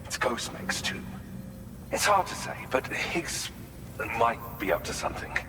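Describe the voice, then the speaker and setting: Courteous male scientist, eager, formal and articulate, lively swooping intonation, video game dialogue, long reverb, noisy background. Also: mid-range voice, unhurried. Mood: concerned. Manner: formal, articulate, erudite phrasing. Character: courteous, eager male vehicle and weapons researcher. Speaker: researcher character.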